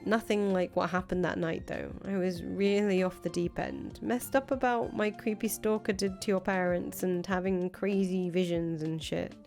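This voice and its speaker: A woman's voice: lowish voice, steady tone, moderate pace, creaky, hardly any pauses, darkish tone. A woman voicing a brash, blunt, foul-mouthed revolutionary leader in a visual novel, talking casually. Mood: defensive. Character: brash, foul-mouthed revolutionary leader, volatile but attached to her friend. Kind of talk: visual novel dialogue reading. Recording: music behind the voice. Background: music.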